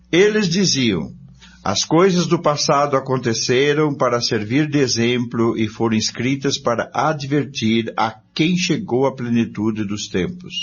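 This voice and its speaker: A man narrates a religious script with emphatic, declamatory phrasing. His delivery is unhurried and his pitch moves widely. The recording is dull and lo-fi. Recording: dull lo-fi audio with faint noise.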